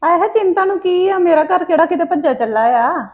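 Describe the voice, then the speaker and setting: A woman's voice: very high voice, lively intonation, fairly quick, muffled recording. A woman playing a cheerful, easygoing young wife who teases and jokes in a home comedy sketch. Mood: playful. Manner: playful, teasing.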